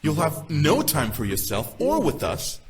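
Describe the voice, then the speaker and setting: An actor playing a reasoning demon nobleman in a visual novel, measured and persuasive. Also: on the high side, huge pitch swings, a crowd in the background. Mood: earnest urging. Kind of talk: visual novel character acting.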